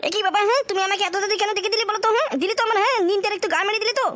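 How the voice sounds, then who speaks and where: Bratty cartoon boy, extremely high pitched-up squeak, breakneck pace, crude and whiny. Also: rapid-fire, heavy background noise. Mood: irritated. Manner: whiny grumbling, crude, repeated question tags. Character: grumpy, foul-mouthed bratty boy. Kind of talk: amateur comic cartoon dub.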